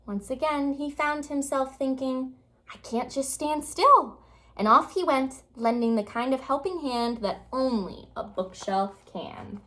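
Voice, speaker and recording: A woman reading a children's picture book aloud with expressive, dramatic storytelling phrasing. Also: high-pitched, unhurried, hardly any pauses.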